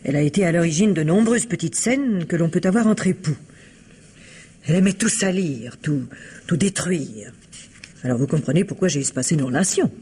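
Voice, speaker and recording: A woman acting a reserved, quietly spiteful shopkeeper's wife in a vintage French radio mystery, measured and evasive in manner. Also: fairly low pitch, animated, slight background noise, natural voice. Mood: bitter, disdainful.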